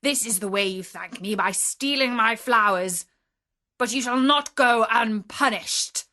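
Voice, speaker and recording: A woman's voice: fairly high pitch, a little slow, sharp tone. A woman voicing a huge, furious male beast who roars threats in a terrible voice. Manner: roaring, threatening.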